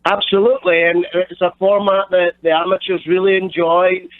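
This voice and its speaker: A man's voice: high voice, level tone, moderate pace, dry recording. A Scottish man calling in to a radio show, explaining a charity golf event and repeating words as he searches for them. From Scotland. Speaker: charity tournament director, phone guest. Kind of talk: radio phone interview. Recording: tinny phone line.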